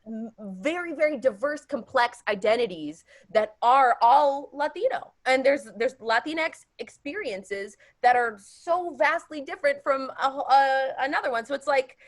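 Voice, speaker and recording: An actress on a panel, explaining her view in an emphatic, conversational way. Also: on the high side. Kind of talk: casual panel discussion.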